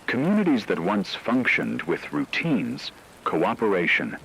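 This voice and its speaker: A man playing a solemn radio news correspondent, delivering measured, formal scripted reports on an apocalyptic disaster. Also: mid-range voice, very animated, creaky, a bit bright, a little hiss or hum, exaggerated voice, rough recording. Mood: grave, ominous. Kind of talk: scripted dramatic news narration.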